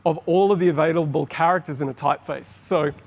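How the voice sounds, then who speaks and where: Aussie guy presenting at a conference, kinda high voice, explains stuff eagerly, big echoey hall. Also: vocal fry, darkish tone. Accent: Australian. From Australia. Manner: explanatory, enthusiastic, quickens on asides. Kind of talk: keynote presentation. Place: large conference hall on stage. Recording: long hall reverb.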